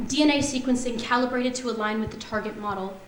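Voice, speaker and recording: A woman's voice: on the high side, fast, recorded from a distance, slight background noise, natural voice. An actress playing an earnest, distressed woman geneticist in a sci-fi stage musical, with a theatrical, emotive delivery. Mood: shocked, distressed. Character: Eve, earnest woman geneticist awakened on Mars, heartbroken, distressed. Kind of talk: staged musical theatre reading, sung and spoken. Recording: echoey theatre hall, loud piano music.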